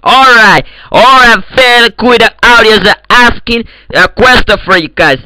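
High bright-voiced guy with an eastern european accent, slow and boastful, trolling in voice chat. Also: no room sound, rough recording. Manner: broken English, boastful, trolling. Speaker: voice chat player. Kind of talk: prank call banter in game voice chat.

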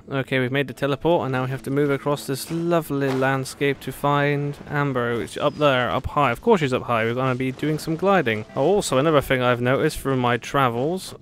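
A man giving casual, rambling let's play commentary, thinking aloud. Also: mid-range voice. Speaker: gaming video host.

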